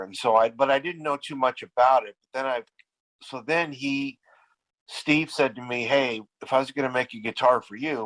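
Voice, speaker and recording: A man's voice: medium pitch, fairly bright tone, no room sound, low-quality recording. A man who is losing his voice, telling stories in casual podcast chat with many restarts and repeats. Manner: rambling storyteller with many restarts. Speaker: podcast guest, band frontman.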